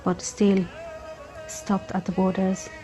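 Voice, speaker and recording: A woman reciting a slow, measured spoken-word poem. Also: medium pitch, completely flat delivery, music in the background. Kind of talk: slow, even spoken-word poetry over music.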